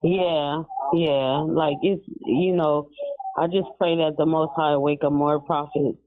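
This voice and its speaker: Halting woman on a tinny phone. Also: low-pitched, steady tone. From California. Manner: halting, full of fillers. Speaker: caller. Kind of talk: phoned-in comment.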